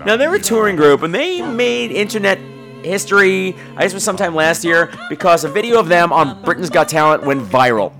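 High-pitched, animated gossipy man. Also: fairly quick, faint music behind. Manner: gossipy, catty, profane; animated, dramatic emphasis. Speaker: podcast host. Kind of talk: casual comic storytelling.

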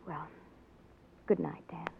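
An actress playing a politician's devoted wife in a 1940s radio drama, earnest, tender and emotive. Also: female voice, slow, close to an everyday voice. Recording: muffled, tinny old radio recording.

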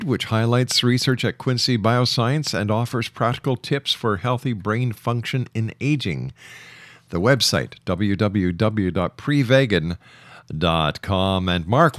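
A man's voice: mid-range voice, animated, measured pace, no room sound, clean studio sound. A man hosting a radio interview show, introducing things in a formal announcer-like manner.

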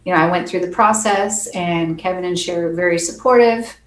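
A soft-spoken woman, a percussionist guest, chatting earnestly and laughing often in an interview. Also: fairly low pitch, a little fast, roomy sound, a little hiss or hum. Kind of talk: casual interview chat.

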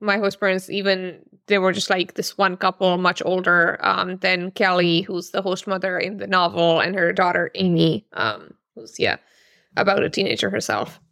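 A woman's voice: on the low side, moderate pace, creaky, fairly bright tone, no echo, clean studio sound. A woman in her thirties from Pakistan, a novelist answering interview questions thoughtfully, with many fillers and restarts. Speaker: novelist guest.

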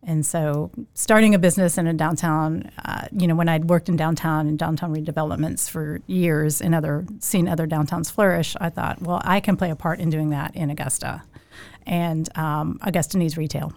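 Chatty woman from Louisiana, low voice. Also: steady tone, moderate pace, a little mellow, dry recording. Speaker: guest, skincare shop owner. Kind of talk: casual podcast interview.

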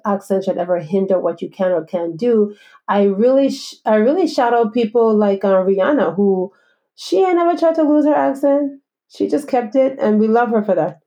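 A woman from the Virgin Islands hosts a casual interview with playful enthusiasm. Her voice sits at a middle pitch and sounds mellow and relaxed.

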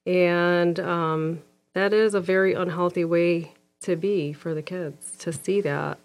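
A woman's voice: fairly low pitch, fairly even intonation, takes it slowly, soft background music. A woman in her thirties, from Egypt, a guest in a casual interview, speaking frankly and firmly with frequent ums.

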